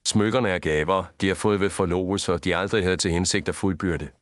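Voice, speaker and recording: Male, low and dry-recorded actor as exacting detective for an audio mystery, formal, grave, measured. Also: no echo, close to an everyday voice. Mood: grave, probing. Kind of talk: scripted audio story dialogue.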